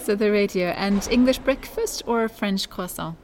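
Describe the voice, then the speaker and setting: A woman's voice: medium pitch, normal speed. A woman hosting a conversational podcast interview in English. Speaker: podcast host interviewing a guest. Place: conference center. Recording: background noise at conference venue. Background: ambient noise, distant sound check.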